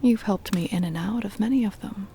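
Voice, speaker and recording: A woman playing a possessive, doting centuries-old vampire mistress in an intimate, breathy whispered ASMR roleplay, coaxing and tender with a menacing edge. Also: mid-range voice, warm tone, slight background noise, natural voice. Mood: tender, soothing. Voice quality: breathy whisper with creaky edges. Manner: slow, coaxing, possessive endearments.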